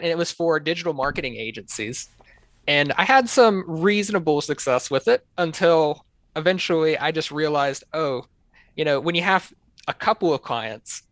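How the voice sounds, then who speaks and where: A man's voice: on the high side, fairly bright tone, dry recording. A man from North Carolina answering interview questions in an easygoing, rambling way. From North Carolina, USA. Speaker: guest, software developer.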